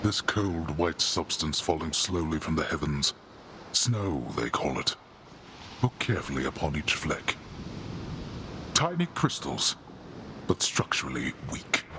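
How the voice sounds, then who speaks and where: Very deep, imperious underworld god. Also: male voice, very animated, noisy background, heavily acted. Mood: cold, menacing. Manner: slow, imperious, formal, weighty speech. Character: stern, brooding old god of the underworld, a proud father. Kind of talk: dramatic video game voice acting. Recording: loud music over the voice.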